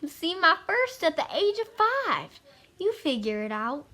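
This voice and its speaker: Young woman playing a feisty, high-voiced old American lady. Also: very animated, unhurried, bright, forceful tone, full character voice. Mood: feisty, proud. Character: spry, feisty old small-town woman of eighty-one. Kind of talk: amateur stage-play acting.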